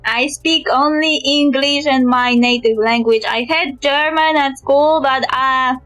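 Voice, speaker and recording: A woman's voice: sits high, measured pace, fairly bright tone, no room sound, soft background music. A woman with a Polish accent chatting casually on a live game stream, joking and opinionated. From Poland.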